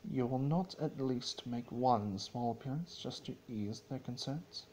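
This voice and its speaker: A man's voice: medium pitch, a little slow, darkish tone, a little hiss or hum, pitched above the performer's own voice, natural voice. A man voicing a concerned, firm younger pony princess, earnest and exasperated, in a dramatic story reading.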